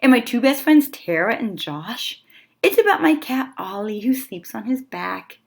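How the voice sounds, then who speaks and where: A woman reading a children's picture book aloud as a storyteller, with expressive delivery and dramatic pauses. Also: a little high, gravelly. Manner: animated, deliberate phrasing with dramatic pauses.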